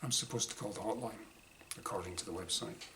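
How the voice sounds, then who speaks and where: A man reading his own first-person short story dramatically, in clipped diary-like phrases with pauses. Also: lowish voice, sharp tone. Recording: clearly noisy room ambience.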